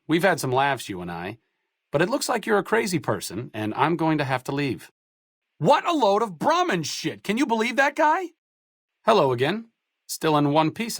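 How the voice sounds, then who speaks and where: A male actor voices an idealistic, educated wasteland doctor for a video game. He speaks at a middling pitch in articulate sentences with a dry, self-deprecating wit. The sound is close and dry, with no room on it. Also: no room sound, lightly acted. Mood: wry, then outraged, then casual, thoughtful. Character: wry, educated, idealistic doctor-researcher in a post-apocalyptic wasteland. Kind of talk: video game companion dialogue.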